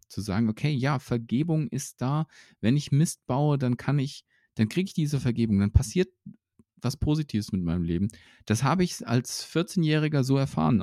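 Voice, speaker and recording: A man's voice: medium pitch, mellow, no room sound, studio quality. A man talking casually and reflectively about his faith, with many fillers and restarts.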